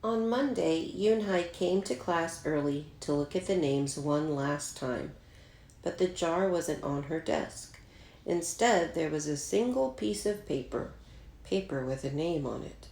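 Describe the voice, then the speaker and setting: A woman's voice: low-pitched, expressive, unhurried, vocal fry, darkish tone. A woman teacher reading a picture book aloud in a careful storytelling style for children. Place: indoors at home, echoey room. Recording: roomy, echoey home recording.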